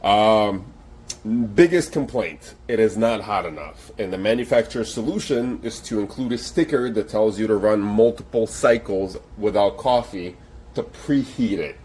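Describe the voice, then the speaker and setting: A man's voice: lowish voice, lively intonation, normal speed, a little mellow. A man giving a blunt, sarcastic review of an espresso machine, complaining about its flaws. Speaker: product reviewer.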